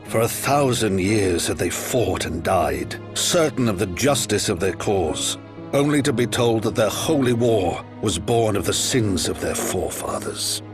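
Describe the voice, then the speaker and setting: A solemn male chronicler narrating a fantasy game saga over music. His lowish voice is grave and deliberate, with a portentous, old-fashioned storytelling style. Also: expressive. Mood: solemn, wistful. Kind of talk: scripted dramatic game narration.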